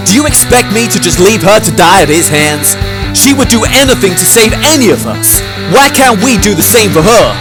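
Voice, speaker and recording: Hot-headed man acting a defiant guest, animated. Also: sits high, normal speed. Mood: angry, pleading. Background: guitar music.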